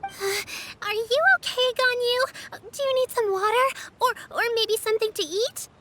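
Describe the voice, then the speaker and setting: A woman's voice: very high-pitched, unhurried, noisy background. A woman voicing an earnest, caring little girl in a game, eager and sweet. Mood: concerned.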